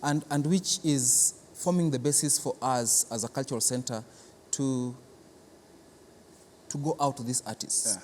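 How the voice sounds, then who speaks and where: A Kenyan man answers interview questions in an earnest, explanatory way, often doubling back on his words. His voice sits at a middling pitch. The space rings like a large hall, with light noise behind him. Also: measured pace, pauses often, darkish tone. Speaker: cultural centre representative, interview guest. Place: large reverberant theatre building. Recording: echoey hall, clear background noise.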